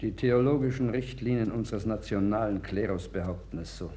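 A man's voice: on the low side, brisk, mellow, close to an everyday voice. An actor playing a troubled, wavering king of ancient Judah in a formal 1960s radio play style. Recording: worn vinyl record with clear noise.

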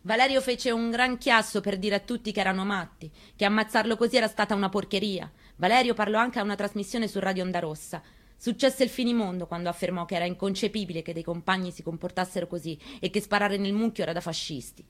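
A woman reading a memoir aloud in a radio studio. She tells it in the first person, in a quiet and measured way. Her pitch is mid-range. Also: steady tone. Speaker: reader.

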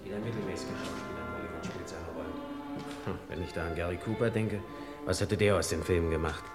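A man's voice: sits low, quick pace, lightly acted. An actor playing a cynical Hollywood publicity man in an old-style crime radio play, offhand and disdainful. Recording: loud music behind the voice.

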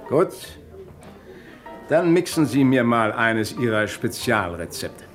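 An insistent police inspector, lively pitch. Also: male voice, slow, soft background music. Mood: brisk, probing. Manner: pressing, commanding, probing. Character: insistent, probing police detective inspector interrogating witnesses. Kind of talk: German radio drama acting.

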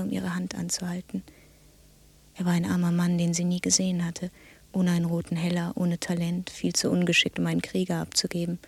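An actress in a radio drama playing a subdued, solemn woman who tells a dark fairy tale in a measured, detached, breathy near-whisper with a creaky edge. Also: on the low side. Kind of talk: literary storytelling in German radio drama.

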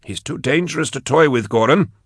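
A man doing a gravelly, bitter caged sorcerer. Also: mid-range voice, lively intonation, fairly quick, no room sound, clean studio sound, voice pushed up. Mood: cold resolve. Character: bitter caged male sorcerer, weary and vengeful. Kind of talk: audiobook character voice.

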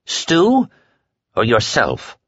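A man voicing a calm, stern man in an audiobook, arguing quietly and coldly to hold friends to an agreement. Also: medium pitch, slightly rough, no echo, muffled recording, pitched above the performer's own voice, heavily acted. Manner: quiet, cold, measured argument.

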